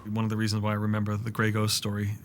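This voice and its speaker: Man, brisk pace, low even voice, interview commentator, music behind. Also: slight background noise. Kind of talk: documentary interview.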